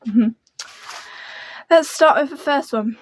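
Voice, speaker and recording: Young girl rambling about books, quiet soft voice, pretty high. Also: takes it slowly, low-quality recording. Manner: rambling and gushing, full of fillers. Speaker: book vlogger. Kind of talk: casual book chat to camera.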